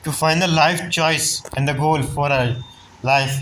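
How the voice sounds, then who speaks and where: Casual game streamer, mid-pitched. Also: steady tone, a little slow, fairly bright tone, a little hiss or hum, low-quality recording. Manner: informal, muttered interjections and repeated phrases. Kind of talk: casual gameplay commentary. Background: faint game music.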